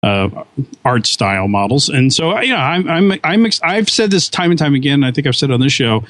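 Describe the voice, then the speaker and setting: A man's voice: a little high, big pitch swings, brisk, fairly bright tone, no echo. A man on a gaming podcast, talking at length and restarting his sentences. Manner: talkative, many restarts and fillers. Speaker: podcast co-host. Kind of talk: casual chat.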